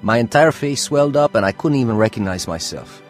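Actor as man recalling troubled youth, earnest, expressive, faint music, radio play. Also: mid-range voice, brisk, lightly acted. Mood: confessional. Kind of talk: first-person radio drama narration.

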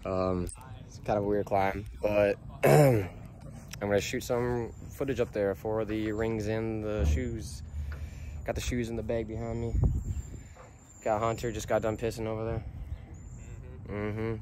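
A young man vlogging casually to a handheld camera, relaxed and laughing often, trailing off with fillers. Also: on the low side, measured pace, long pauses. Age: young adult. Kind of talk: casual vlog narration. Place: outdoors on an abandoned site and in a house. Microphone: handheld camera at arm's length. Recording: noisy handheld camera audio.

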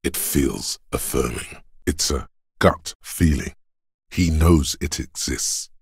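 An actor voicing a stern, gruff, goading inner voice of bodily endurance in a video game, with a gravelly, husky bass and a weighty, deliberate delivery. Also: male voice, no room sound, clean studio sound, heavily acted. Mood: brooding, solemn. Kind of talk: dramatic video game narration.

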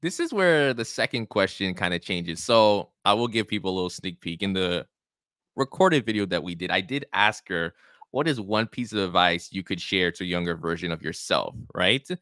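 A man's voice: deep voice, animated, normal speed, fairly bright tone, dry recording. A young man hosting a podcast from a small home studio, talking in a chatty, encouraging way. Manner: encouraging, chatty, repeats himself. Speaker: podcast host. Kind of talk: casual interview chat. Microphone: studio mic with headphones.

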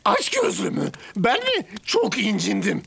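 A woman's voice: mid-range voice, wildly swooping pitch, a little slow, vocal fry, fairly bright tone, husky, no echo, heavily acted. A woman voicing a greedy, clumsy, boastful little rodent in a children's cartoon, dramatic and whiny. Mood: indignant, whiny. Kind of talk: children's cartoon dub acting. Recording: music bed under the voice.

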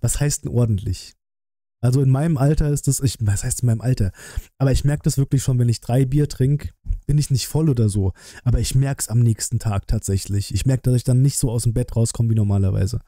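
A man's voice: medium pitch, a little fast, mellow, no room sound. A man in his thirties from Germany chatting casually as a livestream host, blunt and colloquial, thinking aloud, close to a desk microphone. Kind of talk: casual livestream chat and trailer reaction. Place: small decorated streaming room indoors. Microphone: close desk microphone, headset worn.

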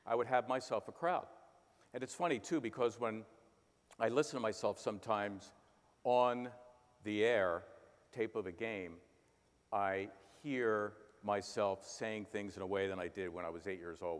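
Older man, a sports announcer, in a reverberant hall. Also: lowish voice. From Philadelphia. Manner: storytelling with bursts of play-by-play imitation. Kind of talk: on-stage conversation. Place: large conference hall stage.

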